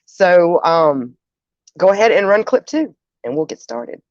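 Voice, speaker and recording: Female livestream host, middle-aged, lower register, conversational and reflective, slightly muffled lo-fi sound. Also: sing-song, a little slow, darkish tone, dry recording. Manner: conversational, musing, addresses listeners directly. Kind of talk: casual livestream chat, reading out viewer comments. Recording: dull, dry lo-fi audio.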